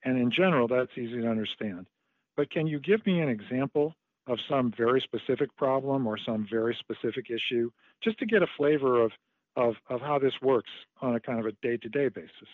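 A man's voice: medium pitch, a little fast, no room sound. A man interviewing a colleague, asking friendly, lightly joking questions in conversational style. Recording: tinny phone-like line.

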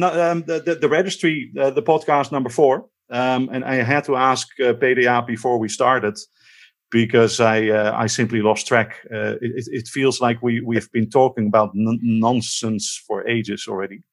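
A man with a Dutch accent hosting a podcast in casual, joking chat, with halting, stammering speech. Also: mid-range voice, steady tone. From Netherlands. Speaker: podcast host.

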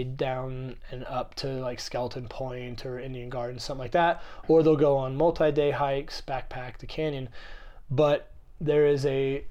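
Podcast co-host, young adult man, mild rasp from a cold, loose and jokey, medium pitch. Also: a little mellow. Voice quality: slightly raspy. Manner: rambling, jokey, many fillers. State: recovering from a cold. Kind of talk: casual chat.

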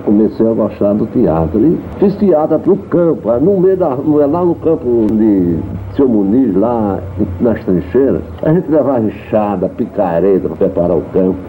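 An elderly man in his seventies, with a Northeastern Brazilian accent, reminisces emphatically. His voice is fairly low but swings widely in pitch. The archival recording is thin and narrow, with some noise. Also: expressive, measured pace. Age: 70s. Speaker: theater veteran interviewee. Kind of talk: spoken reminiscence. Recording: dull noisy archival interview recording.

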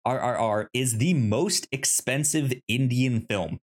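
A podcast co-host with a medium-pitched voice talks casually through movie pitches. The delivery is halting, with frequent stammers and repeated syllables.

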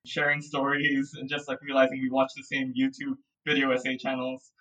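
A man's voice: medium pitch, monotone, a little fast, fairly bright tone, distant mic, low-quality recording. A man, a guest talking reflectively in a casual remote interview, with many fillers and small laughs. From Singapore. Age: 20s. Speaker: guest, audio production founder.

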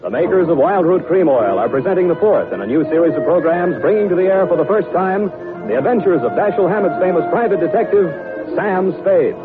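A man's voice: high voice, animated, fairly quick, loud music behind the voice. An American-accented man working as a radio announcer, reading a scripted 1940s sponsor message like a polished salesman. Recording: old muffled, grainy radio broadcast recording.

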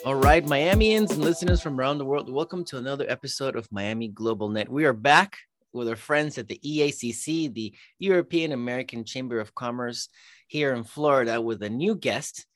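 A man's voice: mid-range voice, brisk, faint music behind. A man hosting a business podcast, welcoming guests in an upbeat way. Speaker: podcast host. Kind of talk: podcast interview.

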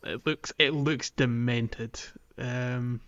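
A man co-hosting a casual gaming podcast, recapping in a halting, stammering way with frequent false starts. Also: mid-range voice, steady tone, a bit bright, lo-fi sound. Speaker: podcast co-host. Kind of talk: casual game recap chat.

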